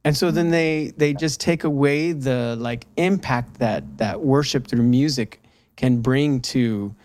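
A man's voice: medium pitch, measured pace, a little mellow, a little hiss or hum. Eager laughing male host. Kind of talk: casual faith talk.

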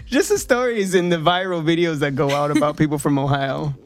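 A man's voice: on the high side, fairly even intonation, fairly quick, slight background noise. Playful joking man hosting radio. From Southern California. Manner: playful, joking, teasing guests. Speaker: radio show host. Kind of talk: casual radio chat. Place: radio studio.

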